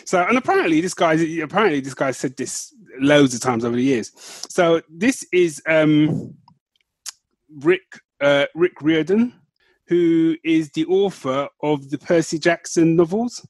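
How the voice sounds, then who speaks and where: A man with a fairly high voice talks as a podcast co-host. His delivery is casual and informal, circling back over phrases for emphasis. The sound is dry, with very little room on it. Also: animated, unhurried, no room sound. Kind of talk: casual chat about film and book news.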